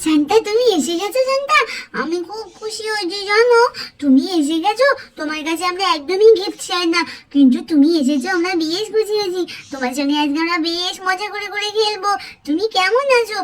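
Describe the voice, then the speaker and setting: A woman voicing an excitable little girl doll in a cartoon story, childlike and eager, repeating her phrases. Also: very high-pitched, a little fast. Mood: sweet, friendly.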